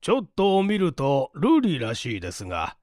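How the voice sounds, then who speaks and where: A man reading a mystery story as a narrator, in a measured, polite and formal delivery. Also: on the high side, wildly swooping pitch, darkish tone, dry recording, studio quality. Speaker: audiobook narrator, stage actor. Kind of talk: dramatized literary reading, mystery novel.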